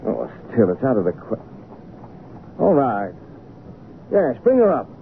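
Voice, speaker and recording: An actor playing an intense, imperious painter obsessed with a lost love, brusque and fervent in old-time radio drama style. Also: male voice, lowish voice, animated, unhurried, gravelly. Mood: agitated, fervent. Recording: tinny, noisy old radio recording.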